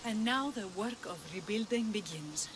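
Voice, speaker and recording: A woman's voice: medium pitch, animated, moderate pace, background noise, natural voice. A woman playing an earnest, cautious leader of an ancient Greek village in a video game cutscene. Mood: grateful. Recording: loud music behind the voice.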